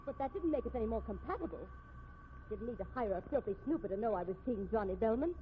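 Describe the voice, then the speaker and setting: An actress playing a haughty, sharp-tongued society wife in a 1950s radio drama, grand and scornful. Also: female voice, on the high side, animated, fast talker, a little hiss or hum, close to an everyday voice. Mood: defiant. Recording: tinny, band-limited old radio recording.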